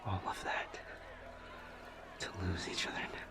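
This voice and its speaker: A man playing a shaken, devoted demon lover in an audio drama, halting and breathy as he speaks. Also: in a whisper, natural voice. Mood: tender, worried. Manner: halting, stammering, breathy urgent delivery. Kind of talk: intense dramatic audio roleplay acting.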